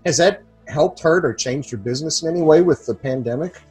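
A man co-hosting a podcast, asking thoughtful, halting questions. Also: a little high, a little mellow, breathy edge, faint music behind.

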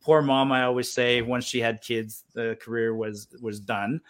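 A man's voice: mid-range voice, fairly even intonation, moderate pace, a bit bright. A man in his fifties from Ontario, a guest in a casual interview, rambling with many restarts. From Ontario, Canada. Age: 50s.

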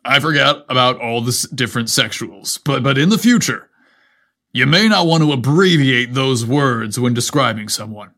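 A flustered, lovestruck fire captain acted by a man reading a story. He speaks haltingly and stammers, at a medium male pitch. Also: lively intonation, sharp tone. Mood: stern, awkward.

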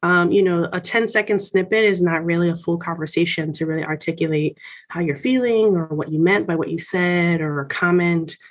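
A woman's voice: lowish voice, a little fast. A woman from Miami speaking in a conversational interview, explaining things from experience in an articulate, emphatic, self-assured way. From Miami, United States. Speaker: senior college administrator being interviewed.

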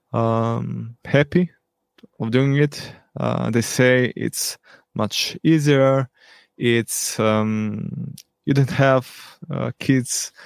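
Slow, gravelly man with frequent ums. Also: mid-range voice, no echo. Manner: hesitant, many ums and pauses. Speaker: teacher and podcast guest. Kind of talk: unscripted interview answers.

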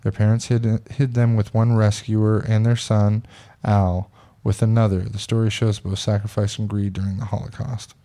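A man's voice: on the low side, flat delivery, moderate pace, few pauses, mellow. A man hosting a casual podcast, chatting bluntly and reading news posts aloud. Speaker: podcast host.

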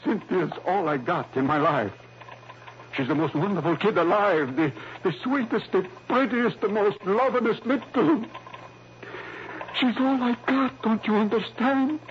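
An actor playing an agitated, tough-talking father in early 1960s radio drama, rough and emphatic in his speech. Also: high voice, measured pace. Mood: desperate. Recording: dull, noisy old radio recording.

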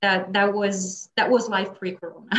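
A woman's voice: medium pitch, steady tone, a little slow, fairly bright tone. A young woman whose first language is Italian speaks with an Italian accent. She talks casually in a podcast interview, chatty, with many fillers and restarts, laughing often. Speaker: guest interpreter and translator.

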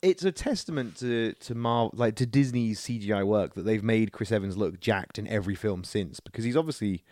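A man co-hosting a casual podcast, chatting in an excitable, playful and rambling way about films and games. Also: fairly low pitch, a little fast, hardly any pauses, no echo, clean studio sound. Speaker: podcast co-host.

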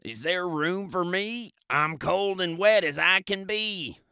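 A man voicing a duck and a pig with gravelly, put-on voices for a storybook reading. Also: sits high, takes it slowly, sharp tone, no echo, studio quality, voice pushed up, full character voice. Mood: shivering. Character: farm animals, a duck and a pig.

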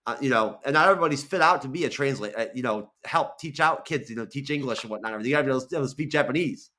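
A man's voice: medium pitch, rapid-fire, bright, forceful tone. A man of forty-one, a guest on a video call, talking casually, rambling with lots of restarts and easy swearing. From Florida. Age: 40s. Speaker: guest, content creator. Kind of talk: casual interview chat.

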